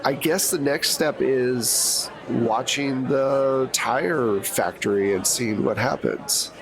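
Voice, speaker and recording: A man's voice: mid-range voice, takes it slowly, crowd noise, rough recording. A man running a tabletop spy game as game master, giving dry, teasing asides to his players.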